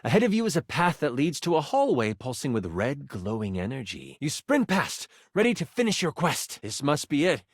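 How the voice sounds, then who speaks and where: An animated game master narrating a fantasy adventure. His pitch sits a bit high and swings widely, with theatrical suspense, in a dry, close recording. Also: normal speed, few pauses, breathy edge, no echo, exaggerated voice. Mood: suspenseful. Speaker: voice actor. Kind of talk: dramatic tabletop game narration.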